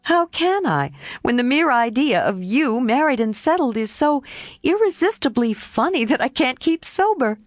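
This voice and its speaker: A woman reading aloud and voicing an impulsive, excitable young woman, with exclaiming, high and animated lines. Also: unhurried, dry recording, studio quality. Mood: teasing.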